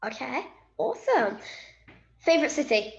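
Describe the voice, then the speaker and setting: Young female host, bright high voice, upbeat quickfire questions, echoey muffled recording. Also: big pitch swings, vocal fry. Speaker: interviewer and host of the series. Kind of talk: casual interview questions. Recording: echoey, dull lo-fi sound.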